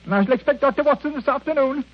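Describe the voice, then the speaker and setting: An actor playing a bitter, self-pitying old miser in a radio drama, whining and lamenting in a husky voice. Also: male voice, extremely high pitch, racing. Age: older. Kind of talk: mid-century radio drama acting. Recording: dull old radio recording.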